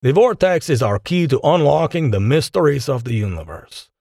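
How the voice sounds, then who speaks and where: A proud senior male scientist in an audiobook, acted out by a male narrator, pitched up and animated. He is courteous and formal, measuring out his words with deliberate care. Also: gravelly, no echo, studio quality, full character voice. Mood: proud, commanding.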